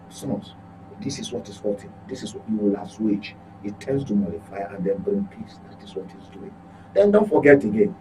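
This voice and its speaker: Nigerian guy doing political talk show commentary, lowish voice, emphatic and self-correcting, bit of hum in the background. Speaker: journalist, guest commentator. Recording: clearly noisy with electrical hum.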